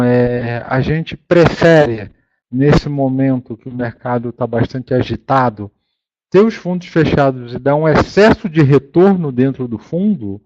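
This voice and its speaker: A man's voice: on the high side, a little mellow, no room sound. Brazilian man presenting, dull dry audio. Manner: halting, with repeated words and self-corrections. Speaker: fund manager presenting a conference call. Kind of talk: informal business presentation with explanations.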